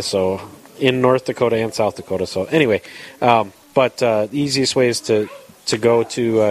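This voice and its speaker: A man's voice: fairly low pitch, low-quality recording. A man hosting a live radio talk show from a coffee shop, talking in a rambling way with many fillers. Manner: rambling, filler-heavy, friendly. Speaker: radio host.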